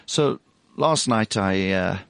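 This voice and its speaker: A man with a hoarse, husky voice, speaking as a guest on a studio radio show, reflective and hesitant, with many fillers. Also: deep voice, expressive, slow, no echo. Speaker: bank executive guest. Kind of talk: casual radio chat.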